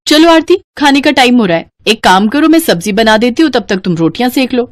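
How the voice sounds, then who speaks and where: A woman's voice: fairly high pitch, expressive, fairly quick, pitched above the performer's own voice, natural voice. A woman voicing a chatty, bossy elder sister-in-law in a cartoon family story, with a breezy, familiar manner. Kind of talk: Hindi cartoon story dialogue.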